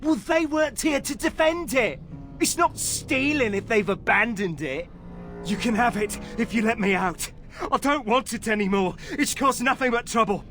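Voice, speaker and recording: A man's voice: very high-pitched, normal speed, bright, forceful tone, faint music behind. A man playing a frightened, pleading captive treasure thief in a video game, anxious and desperate. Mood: pleading, exasperated.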